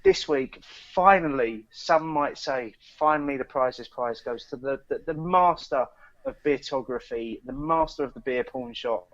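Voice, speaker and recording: A man's voice: mid-range voice, moderate pace, fairly bright tone. A British man co-hosting a casual podcast, talking hesitantly and often repeating his words. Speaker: podcast co-host. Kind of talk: casual chat. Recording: slightly dull, lo-fi audio.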